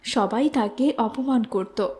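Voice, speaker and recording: A woman's voice: fairly high pitch, slow pace, warm tone. A woman narrating a scripted moral story for children.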